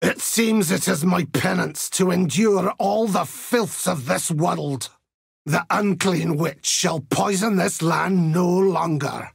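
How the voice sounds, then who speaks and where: An actor playing a zealous, grim older Templar holy warrior in a video game, with a gravelly, raspy voice and solemn, righteous delivery. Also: male voice, high voice, slow pace, no room sound, exaggerated voice. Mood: solemn resolve. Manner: solemn, pious, righteous oratory. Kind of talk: video game character voice lines.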